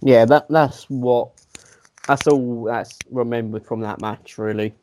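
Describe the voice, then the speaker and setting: A man's voice: mid-range voice, fairly even intonation, a little slow, a little mellow, slight background noise. A man, co-hosting a casual podcast and telling a self-mocking, rambling story full of restarts. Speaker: podcast co-host. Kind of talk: casual chat, anecdote telling.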